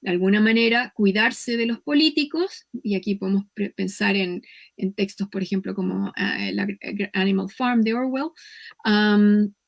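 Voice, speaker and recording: A woman with a Chilean accent giving an academic conference lecture in a measured, explanatory way, with hesitant pauses. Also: medium pitch, gravelly.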